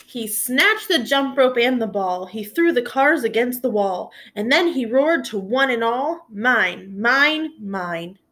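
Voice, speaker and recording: A woman in her thirties narrating a children's picture book aloud in an expressive style, indoors at home. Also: a little high, measured pace, few pauses. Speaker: storybook reader for children. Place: small room at home, indoors.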